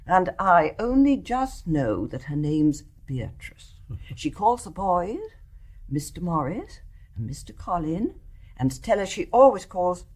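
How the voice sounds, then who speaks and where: An older actress with an English accent playing a genteel, kindly mother with a measured manner in a radio play. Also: fairly low pitch, sing-song, darkish tone, exaggerated voice. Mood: confiding.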